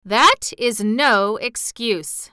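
A woman's voice: mid-range voice, animated, a little slow, sharp tone, clear, resonant tone, no echo, clean studio sound. A woman voicing a cold, imperious male prince of a plant people in a storybook reading, speaking formally and haughtily.

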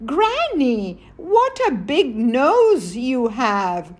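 A woman voicing an innocent little girl from a fairy tale, pitched up. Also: wildly swooping pitch, drawn-out words, exaggerated voice. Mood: wondering.

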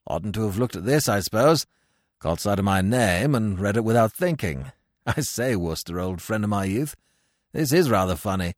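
A man's voice: lowish voice, animated, moderate pace, dry recording, clean studio sound, voice pushed down. A man voicing a carefree, foppish young upper-class man who giggles and chatters in breezy slang. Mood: chirpy.

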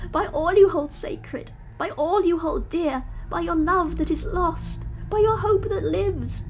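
A woman's voice: medium pitch, darkish tone, natural voice. A woman voicing a frantic male asylum patient who begs desperately and imploringly, close to tears.

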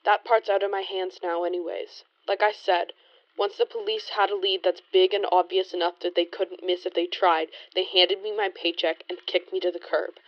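Determined female gumshoe of the twenties played by an actress, pointed period-drama delivery, lower register, muffled lo-fi recording. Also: flat delivery, normal speed, no echo, close to an everyday voice. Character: 1920s female private investigator, determined, suspicious, blunt. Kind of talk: period audio drama acting.

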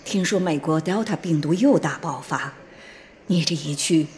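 An actress playing an anxious, caring middle-aged mother who gently scolds in a radio drama. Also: sits low, moderate pace, close to an everyday voice. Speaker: voice actor. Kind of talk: Chinese radio drama dialogue.

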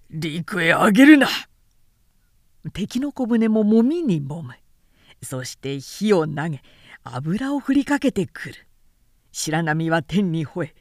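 A woman narrator reads a historical tale at a slow pace. Her pitch moves widely in a dramatic, measured way. The sound is close and dry. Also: mellow, no room sound. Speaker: narrator reading literature aloud. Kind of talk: scripted narration of a historical novel.

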